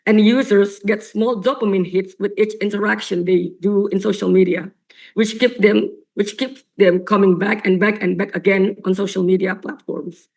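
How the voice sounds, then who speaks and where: A young woman delivers a competitive debate speech, her voice raspy from a coughing fit. She argues earnestly and emphatically, circling back and repeating phrases for stress. Her pitch sits in an ordinary middle range. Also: steady tone, moderate pace, darkish tone, rough recording. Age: young adult. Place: online or stage debate venue.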